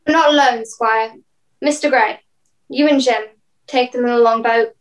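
A woman's voice: a little high, fairly even intonation, normal speed, close to an everyday voice, low-quality recording. A young woman playing a composed ship's captain in a radio play.

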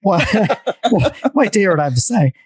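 A middle-aged man hosting a podcast over a video call, telling rambling, animated stories with many restarts. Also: high-pitched, normal speed, slightly rough, no echo, clean studio sound. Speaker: podcast host. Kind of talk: casual video-call conversation. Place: dim home room. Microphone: headset on a video call.

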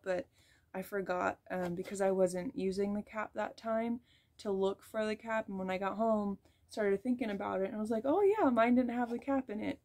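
A woman's voice: mid-range voice, darkish tone. Chatty young woman rambling casually. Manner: rambling, chatty, heavy use of "like" and "um". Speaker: beauty vlogger. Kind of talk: casual product tutorial. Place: small bedroom, indoors.

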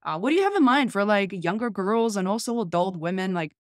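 A woman's voice: mid-range voice, lively intonation, a little fast, dry recording. Chatty woman host, dry close sound. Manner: chatty, thinking aloud. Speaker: host demonstrating an app. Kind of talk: casual chat to camera and phone. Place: small home room, indoors.

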